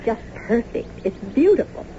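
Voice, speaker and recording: An actress playing a cheerful, polite and curious young wife in a naturalistic radio drama, chatty and easygoing. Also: female voice, fairly high pitch, big pitch swings, normal speed, warm tone. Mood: polite, bright. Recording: muffled, noisy old radio broadcast.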